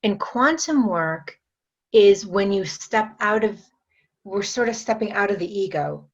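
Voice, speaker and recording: A woman guest on a podcast interview, explaining her energy work in an unhurried way, with many fillers and restarts. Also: medium pitch, expressive, dull audio. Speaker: guest, metaphysician and energy trainer.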